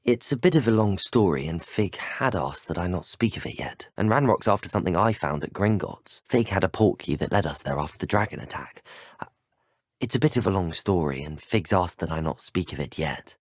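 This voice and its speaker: A man's voice: low-pitched, animated, brisk, no echo, clean studio sound. A man voicing an earnest, polite young student wizard in a video game, with a British accent and courteous, articulate delivery. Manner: courteous, articulate, formal phrasing. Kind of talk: scripted video game dialogue, isolated voice clips.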